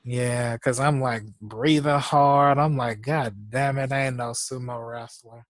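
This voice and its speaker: A man's voice: medium pitch, fairly even intonation. A man chatting casually as a co-host, rambling and laughing often, sounding congested, speaking. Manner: slow, drawn-out talk. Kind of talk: casual chat.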